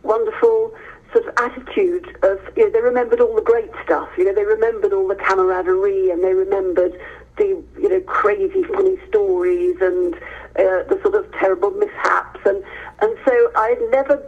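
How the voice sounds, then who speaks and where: A woman's voice: medium pitch, lively intonation, normal speed, phone-quality sound. An English woman, middle-aged or older, answering as a guest author in conversation, telling stories with emphatic repetition. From Hereford, England, near the Welsh border.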